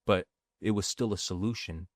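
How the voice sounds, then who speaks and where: This is a low-pitched man who grew up bilingual with Sicilian as his first language. He talks earnestly and conversationally, leaning on "right?" between thoughts. The recording is dry and close. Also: moderate pace, dry recording. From grew up in a Sicilian family, bilingual. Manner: earnest, often ends phrases with "right?". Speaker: guest speaking on addiction recovery. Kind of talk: casual conversational testimony.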